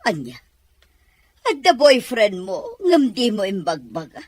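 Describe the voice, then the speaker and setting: A woman's voice: medium pitch, big pitch swings, unhurried, no room sound. A woman playing an inquisitive middle-aged mother in a radio serial. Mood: questioning. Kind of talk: Philippine radio serial acting.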